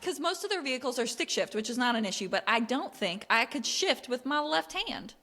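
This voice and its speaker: A young adult woman co-hosting a casual book podcast, chatty and animated, laughing often as she acts out little quotes. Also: high voice, fairly quick. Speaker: podcast co-host. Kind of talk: casual book club chat.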